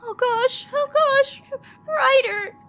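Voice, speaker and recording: A woman's voice: very high voice, slow pace, fairly bright tone, faint music behind, pitched above the performer's own voice, exaggerated voice. A woman voicing a grief-stricken, pleading young female train worker in a visual novel, with an urgent, cracking delivery. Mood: grief-stricken panic.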